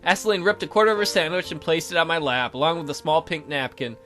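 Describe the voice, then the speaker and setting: A young man reads a visual novel aloud for a let's play. His voice is bright and highly animated, and he keeps breaking off into playful asides. Faint music plays behind him. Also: fast. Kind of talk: reading visual novel aloud with joking commentary.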